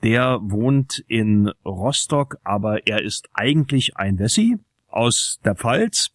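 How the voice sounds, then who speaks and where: Slow, measured radio host, dry sound. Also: male voice, lowish voice, expressive, no echo. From East Berlin. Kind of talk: radio talk introduction.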